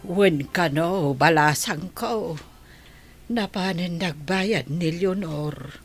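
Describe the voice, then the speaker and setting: A woman's voice: on the low side, slow pace, breathy edge, soft background music, exaggerated voice. A woman playing an elderly, tender and forgiving village grandmother with an Ilocano accent in a radio drama. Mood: gentle.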